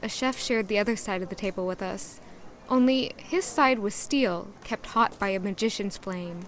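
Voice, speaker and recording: A woman's voice: mid-range voice, normal speed, a little hiss or hum, natural voice. An actress narrating as a young female castle maid, in an earnest, introspective first-person way. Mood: reflective. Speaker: narrator and lead character.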